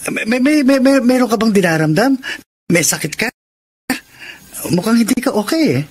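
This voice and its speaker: A man's voice: high-pitched, lively intonation, moderate pace, husky. A Filipino man playing an earnest, worried young fiancé in a radio drama, speaking with concern and small stammers. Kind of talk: Tagalog radio drama acting.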